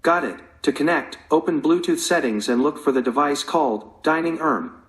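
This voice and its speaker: A man's voice: fairly high pitch, moderate pace. A synthetic male voice assistant giving setup instructions in a scripted prompt style, played from a speaker. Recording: speaker playback in echoey room.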